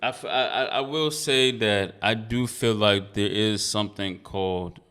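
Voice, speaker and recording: Guest male musician from Nigeria, low and relaxed, easygoing reflective delivery. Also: unhurried, clean tone. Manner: laid-back, reflective, slangy. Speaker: guest artist. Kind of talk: casual interview chat.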